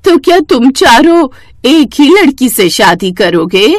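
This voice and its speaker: A woman voicing a brisk, persuasive older village aunt in a storybook tale. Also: high voice, very animated, no room sound, studio quality, heavily acted. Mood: amused, persuasive.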